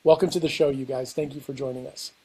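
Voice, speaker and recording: A man's voice: mid-range voice, steady tone, quick pace, crowd noise, poor audio. A man hosting a podcast, reading a scripted intro and asking questions, repeating words as he asks. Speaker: podcast host.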